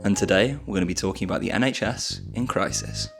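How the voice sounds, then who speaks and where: Low-voiced uk guy hosting a chatty podcast, laid back, laughs a lot. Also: quick pace, creaky, loud music behind the voice. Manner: relaxed, laughs often. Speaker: podcast host. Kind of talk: casual chat.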